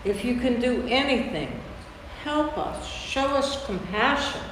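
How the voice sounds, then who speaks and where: Desperate father acted by a man, high slow pleading, staged Bible drama, cavernous echo, distant mic. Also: very animated, fairly bright tone, exaggerated voice. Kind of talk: amateur staged Bible reading. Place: large church interior. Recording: distant, echoey church hall, faint noise.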